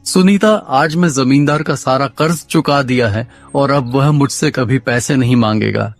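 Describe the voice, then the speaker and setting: A man's voice: mid-range voice, normal speed, no room sound, soft background music, lightly acted. A man voicing a lazy, naive village husband in a cartoon story, talking in dialogue. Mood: boastful, pleased.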